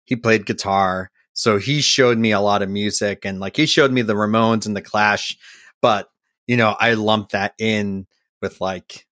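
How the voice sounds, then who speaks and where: A man's voice: lowish voice, fairly even intonation, a little slow, fairly bright tone, dry recording, clean studio sound. A man from Massachusetts, a guest musician on a podcast, telling stories in a rambling casual way full of fillers and restarts.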